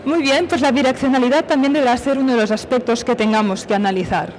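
Higher-pitched woman giving a conference talk. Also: quick pace, a little mellow, a little hiss or hum, poor audio. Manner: explanatory, with self-posed questions. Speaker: conference speaker from industry. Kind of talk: technical conference presentation. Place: on stage at a conference, filmed from a distance.

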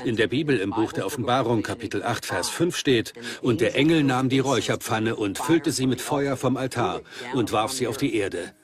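A man's voice: medium pitch, moderate pace, hardly any pauses, a crowd in the background, lightly acted. A man voicing an earnest male investigator in dubbed documentary dialogue. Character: male investigator pondering doomsday prophecies, earnest. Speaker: German voice-over actor in a documentary.

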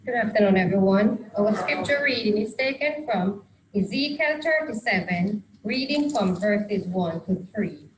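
Woman reading scripture slowly in a church. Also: mid-range voice, animated, roomy sound, slight background noise, low-quality recording. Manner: measured, deliberate reading. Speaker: scripture reader. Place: small church hall, indoors. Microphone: handheld microphone.

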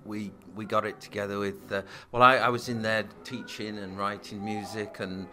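A man, a musician and studio owner, answering interview questions in a halting way, restarting his sentences often. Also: fairly low pitch, faint music behind. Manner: halting, stumbling restarts, flat delivery.

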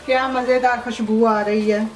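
A woman hosting a home cooking video, giving plain, unhurried step-by-step recipe instructions with short pauses between ingredients. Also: a little high, little pitch movement. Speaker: cooking show host. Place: home kitchen. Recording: echoey room with background music.

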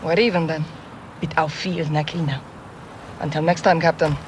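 An actress playing a bold young rebel commander with an Arabic accent in a cinematic military video game. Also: female voice, low-pitched, fairly even intonation, fast, lightly acted. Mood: warm, decisive. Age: young adult. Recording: loud helicopter and engine noise behind.

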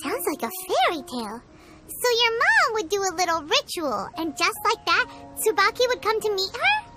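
A woman voicing a tiny, excitable floating fairy companion in a video game who refers to herself in the third person. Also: very high voice, normal speed. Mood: curious. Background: game music.

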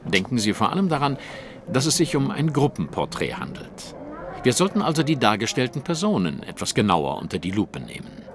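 A man's voice: mid-range voice, wildly swooping pitch, a little fast, background noise. An actor playing a shrewd, haughty male detective in an audio drama, speaking in a precise, formal, lecturing way. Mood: analytical. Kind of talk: German audio drama acting.

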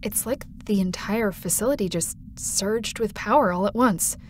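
An actress playing a polite, dutiful station system monitor with a proper manner in an audio drama. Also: female voice, medium pitch, moderate pace, slight background noise, lightly acted.

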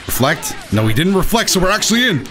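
A man hosting a gaming video with casual, excited, exclamatory and self-deprecating play-by-play commentary. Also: high voice, fast. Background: game music behind the voice.

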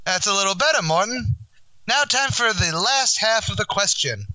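A blustering tavern manager acted by a man hosts a quiz in an old-time radio comedy. His voice is high and very sharp, with mock-grand, wisecracking delivery and a dry sound. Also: expressive, moderate pace, no room sound, exaggerated voice, poor audio. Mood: exasperated. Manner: mock-grand quizmaster, malapropisms.

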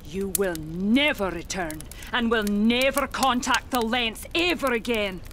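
An actress playing an eccentric, flighty and solemn psychic medium in an audio horror drama, with flowery, genteel speech. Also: female voice, a little high, measured pace, a bit bright, a little hiss or hum. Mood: commanding.

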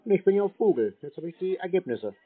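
Husky, dry, sceptical man on phone. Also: sits high, big pitch swings, quick pace. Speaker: scam-baiter taking a call. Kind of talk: casual phone conversation. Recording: tinny, band-limited phone line.

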